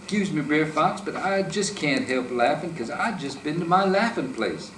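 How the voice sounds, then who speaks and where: A man's voice: fairly high pitch, lively intonation, normal speed, fairly bright tone, slight background noise, voice pushed up. A man voicing a small, clever male rabbit trickster who talks in a sly, cheeky way, recorded in an echoey room from a distance.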